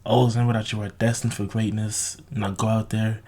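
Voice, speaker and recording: A man with a slightly raspy, sore-throated voice giving casual, unscripted advice to viewers, bluntly, with heavy swearing, repetition and frequent "okay?" tags. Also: lowish voice, level tone, fairly quick, darkish tone. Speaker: self-improvement video host.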